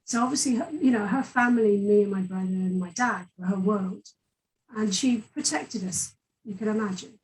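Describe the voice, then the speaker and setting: A woman's voice: medium pitch, moderate pace, darkish tone, far from the mic, low-quality recording. A woman from Wales with a Welsh accent, telling her personal story as a guest in an interview in an open, chatty way.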